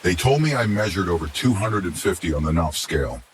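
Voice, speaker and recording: A man's voice: deep voice, brisk. A man reading a scripted first-person horror story as its narrator. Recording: steady hiss behind the voice.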